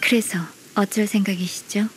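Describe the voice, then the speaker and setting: A woman's voice: mid-range voice, little pitch movement, a little hiss or hum, natural voice, rough recording. Woman playing a formal, politely stern young secretary. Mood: coolly challenging. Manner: formal, measured, politely firm. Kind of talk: Korean anime-style game voice acting.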